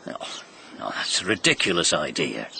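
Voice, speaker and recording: An actor playing a brisk, blunt, sceptical British police inspector in a radio mystery, plain-spoken and emphatic. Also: male voice, a bass voice, very animated, vocal fry, noisy background, heavily acted. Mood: dismissive.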